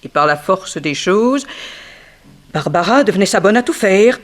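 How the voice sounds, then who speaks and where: An actress playing a bitter, sharp-tongued former ballerina in a 1960s French radio detective play, dramatic and insinuating. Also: female voice, medium pitch, expressive, natural voice. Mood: sardonic, gossiping. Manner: dramatic, insinuating, swinging from slow emphasis to outbursts.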